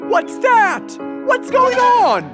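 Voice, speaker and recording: A woman voicing a genial, curious male fox in an expressive children's audio story, full of exclamation. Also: very high-pitched, slow, over music, full character voice. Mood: alarmed.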